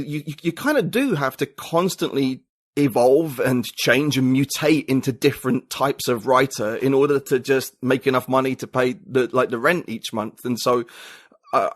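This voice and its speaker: Chatty British man, a writer and guest. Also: mid-range voice. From United Kingdom. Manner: chatty, hesitant with many restarts. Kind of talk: casual interview chat.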